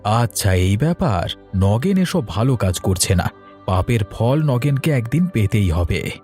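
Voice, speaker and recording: A man's voice: lowish voice, sing-song, measured pace, a little mellow, faint music behind. A man playing a sympathetic, moralising village man in a cartoon folk tale. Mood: troubled.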